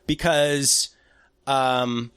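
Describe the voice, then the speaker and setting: A man in his thirties co-hosting a casual podcast chat, excitable and animated, with gasps and quick asides. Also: mid-range voice, clean tone, no echo. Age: 30s. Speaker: podcast co-host.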